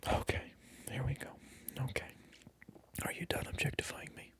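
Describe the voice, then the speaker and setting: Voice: normal speed, a bit bright. A person performing a whispered ASMR monologue close to the microphone, addressing the viewer in a teasing, mock-indignant, repetitive way. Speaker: ASMR video creator.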